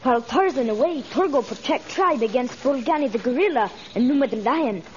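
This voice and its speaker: A woman's voice: high-pitched, animated, a little fast. A woman playing a brave, boastful young native boy in an old radio adventure serial, speaking broken English. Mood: boastful, eager. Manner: broken English, speaking of self in third person. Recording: dull, noisy vintage radio transcription.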